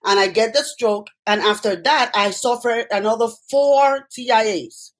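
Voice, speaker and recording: Higher-pitched Puerto Rican woman telling her story. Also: a little slow. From Puerto Rico. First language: Spanish. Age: middle-aged. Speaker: interview guest, healthcare worker. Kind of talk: personal storytelling in interview.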